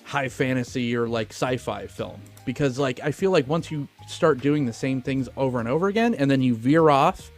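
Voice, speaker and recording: A man's voice: mid-range voice, normal speed, few pauses, soft background music. A man co-hosting a film podcast in casual, opinionated, profane conversation.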